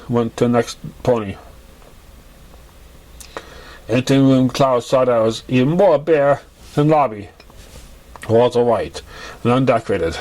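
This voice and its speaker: Male amateur storyteller, average pitch, reading scripted narrative prose. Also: darkish tone.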